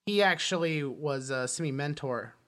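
A man's voice: fairly high pitch, normal speed, fairly bright tone. A man co-hosting a podcast, chatting casually and animatedly, sometimes slipping into mimicry. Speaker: podcast co-host. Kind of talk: casual film and comics discussion.

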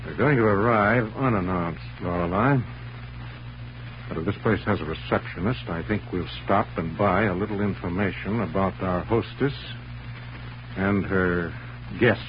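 A man's voice: sits low, normal speed, lightly acted. An actor playing a suave, self-assured newspaperman hero in a 1940s radio drama, talking politely with a dry, confident wit. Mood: resolute. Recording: muffled old radio recording, faint hiss.

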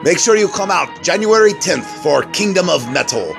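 A man's voice: high voice, very animated, moderate pace, vocal fry. A man presenting a joke segment as a theatrical monster movie show host. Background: music.

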